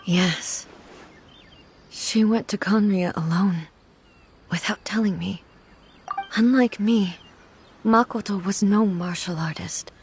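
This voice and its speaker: A woman playing a soft-spoken grieving goddess ruler. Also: mid-range voice, a little hiss or hum, close to an everyday voice. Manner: soft, measured, often near a whisper. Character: solemn grieving female divine ruler, composed and reserved. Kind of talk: game cutscene voice acting.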